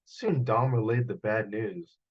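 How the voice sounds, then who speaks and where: A man reading a children's story aloud in an expressive, animated storytelling style, with dramatic gasps and sound effects. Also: fairly low pitch, a little slow, muffled recording. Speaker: children's author reading his book aloud. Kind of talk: animated picture-book storytelling.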